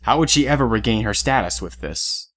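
A man's voice: medium pitch, animated, fairly quick, fairly bright tone. A man reading scripted narration for an audio drama as the storyteller.

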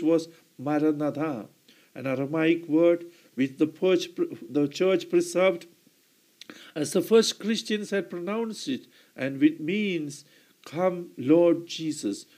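A man with a high-pitched voice preaches a homily. His delivery is slow and deliberate. He clears his throat now and then and corrects himself mid-sentence. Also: darkish tone.

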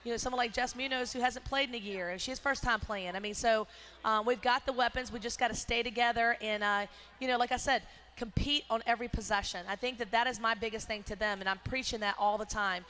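A middle-aged woman, a basketball coach, talking in a postgame interview on a headset mic at courtside, energetic and emphatic with many fillers. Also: a little high, fast talker, slight background noise. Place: courtside in an indoor arena.

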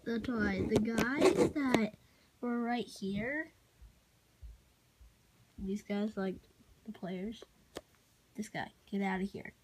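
A child giving a casual, halting show-and-tell narration while filming on a handheld phone in a room at home. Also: medium pitch. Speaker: amateur toy video maker. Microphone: handheld phone behind the camera. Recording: noisy handheld home recording with clicks.

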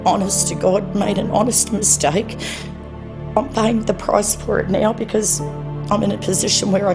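A woman's voice: mid-range voice, gravelly. A middle-aged woman with an Australian accent giving a personal interview as a homeowner, indoors. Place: furnished room indoors. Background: music.

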